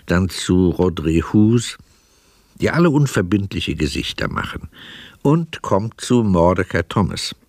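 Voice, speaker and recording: Low, creaky-voiced male radio play narrator. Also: big pitch swings, darkish tone, no echo, clean studio sound. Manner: literary, descriptive, measured delivery.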